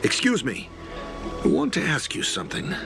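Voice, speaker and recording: An actor playing a falsely courteous, menacing cult agent in a video game, theatrical and measured. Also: male voice, fairly high pitch, wildly swooping pitch, vocal fry, exaggerated voice. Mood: falsely polite. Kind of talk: English game voice acting. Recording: game music behind.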